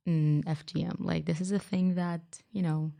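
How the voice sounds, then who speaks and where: Creaky, mellow-voiced young woman activist. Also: on the low side, fairly even intonation, moderate pace. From Saudi Arabia. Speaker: youth activist, podcast co-host. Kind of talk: casual interview answers.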